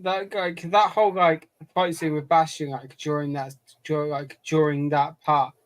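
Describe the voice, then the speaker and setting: A man's voice: a little high, flat delivery, a little slow. A man co-hosting a podcast, casually chatting in a pop culture review discussion. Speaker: podcast co-host.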